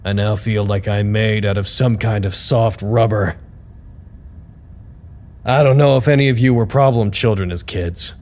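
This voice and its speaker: An actor playing a weary, earnest staffer who dictates a dry, self-deprecating work log in an audio drama. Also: male voice, fairly low pitch, measured pace, a little mellow, close to an everyday voice. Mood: drained, rueful.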